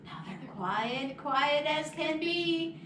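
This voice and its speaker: A woman's voice: high-pitched, animated, slow pace. A woman presenting storytime, speaking expressively to young children as she leads rhymes and introduces books. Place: small children's classroom indoors. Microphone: distant camera microphone. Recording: echoey, noisy room, far from mic.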